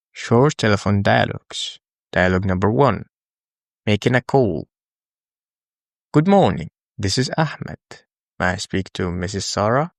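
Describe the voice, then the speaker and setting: A man who is a native Arabic speaker, teaching English in a scripted, deliberate, instructional lesson style. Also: fairly low pitch, creaky, dry recording, studio quality. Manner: deliberate, instructional, with pauses for the learner. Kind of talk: scripted language lesson, repeat-after-me drills.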